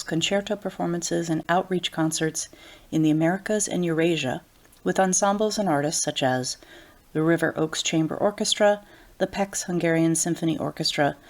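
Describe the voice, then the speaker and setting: A woman hosting an interview, first reading out a formal guest introduction and then asking questions in a measured, encouraging way. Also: on the low side. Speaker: interview host.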